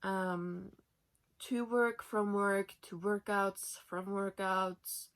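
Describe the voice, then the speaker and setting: Slow-talking young Norwegian woman. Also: medium pitch, steady tone, a bit bright. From Norway. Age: 20s. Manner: chatty, with many fillers. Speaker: knitting podcast host. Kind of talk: casual chat. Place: in her bed at home.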